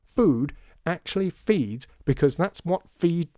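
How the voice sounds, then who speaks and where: An indignant storybook cook acted by a man, stubborn and scornful. He argues emphatically with raised, swooping pitch in a dry recording. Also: expressive, takes it slowly, dark tone, dry recording. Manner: argumentative, emphatic. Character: stubborn, shouting, scornful man who cooks, quarrelling. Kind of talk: audiobook storytelling.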